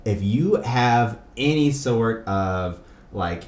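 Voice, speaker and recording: Very deep-voiced man hosting, echoey room. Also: lively intonation, very slow, a little mellow. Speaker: podcast host. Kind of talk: casual podcast chat. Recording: echoey room, faint background noise.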